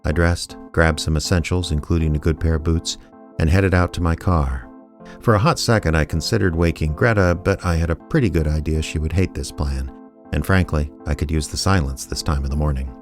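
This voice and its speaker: An actor playing a laconic small-town private investigator, narrating his case in a deadpan, understated radio drama style. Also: very deep voice, fairly quick, faint music behind. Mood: wry, measured. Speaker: narrator and lead character.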